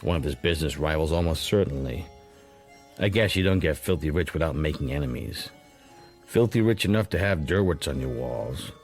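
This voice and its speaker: Very deep-voiced, shrewd male narrator. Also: lively intonation, normal speed, soft background music. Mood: wry musing. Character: shrewd, suspicious, wry middle-aged man narrating his thoughts. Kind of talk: intimate first-person radio drama narration.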